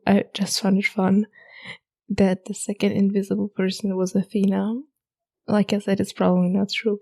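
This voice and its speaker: A young woman, hosting a podcast and chatting casually about a book in a hushed half-whisper, late at night at home. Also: mid-range voice, creaky. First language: German. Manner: hushed, half-whispered talk to avoid waking roommates. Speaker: podcast host.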